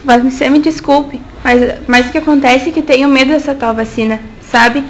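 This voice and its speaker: A man's voice: extremely high pitch, fairly even intonation, moderate pace, muffled recording, slight background noise, pitched above the performer's own voice. A man voicing a fearful washerwoman in a radio drama, hesitant and stumbling over his words but insistent. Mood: wary. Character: fearful working-class washerwoman, woman.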